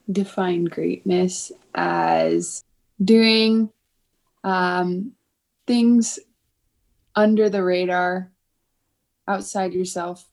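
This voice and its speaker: A woman in her late twenties talking as a podcast guest in a thoughtful, casual way, pausing between phrases. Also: mid-range voice, a little mellow. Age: late 20s.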